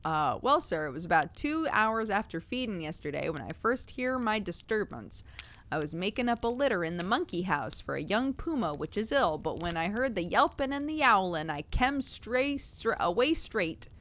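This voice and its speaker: A woman voicing a gruff working-class male zookeeper, dropping his h's in a slow drawl. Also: medium pitch, sing-song, fairly bright tone, a little hiss or hum. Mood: grumbling. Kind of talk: reading a Victorian tale aloud.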